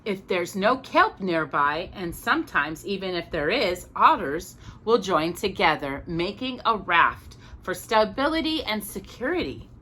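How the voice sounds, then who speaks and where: Bright, expressive woman reading a children's storybook. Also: mid-range voice, a little slow, hardly any pauses, slight background noise. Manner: expressive, chatty, stumbles and corrects herself. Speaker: storytime host reading a picture book. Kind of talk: read-aloud children's storytime with casual asides.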